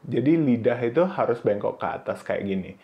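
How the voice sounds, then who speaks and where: A man teaching Mandarin pronunciation in a casual, instructive way, often saying "oke" and "nah", and sounding out syllables in high, drawn-out bursts. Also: lively intonation, muffled recording. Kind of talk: pronunciation lesson video.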